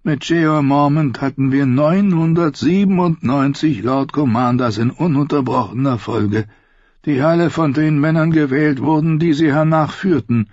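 A man voicing a very old maester in an audiobook, speaking cool and measured, in a slightly dull recording. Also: a little high, a little mellow, dry recording, pitched above the performer's own voice, lightly acted. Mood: calm, formal. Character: very old blind male maester, calm and dignified.

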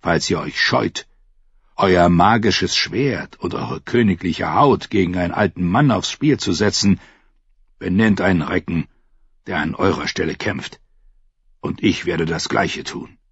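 A man's voice: deep voice, unhurried, breathy edge, dry recording, highs cut off, full character voice. A man voicing a scornful old knight. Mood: defiant. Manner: contemptuous, mocking.

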